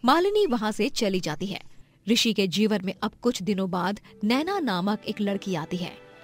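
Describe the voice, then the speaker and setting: A woman's voice: medium pitch, sing-song, moderate pace, no room sound, faint music behind. A woman narrating a scripted moral story as a storyteller.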